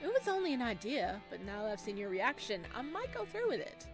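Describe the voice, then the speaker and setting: A woman's voice: a little high, very animated, a little fast, over music. A woman voicing an awkward, eager, easily wounded young man in a visual novel, hesitant and earnest. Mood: shy delight.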